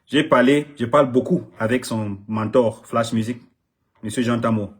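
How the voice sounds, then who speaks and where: A man's voice: medium pitch, normal speed, slightly rough. A middle-aged man from Cameroon, an artist manager whose first language is English, speaking French in an impassioned public address, emphatic and repeating himself, often stumbling over his words.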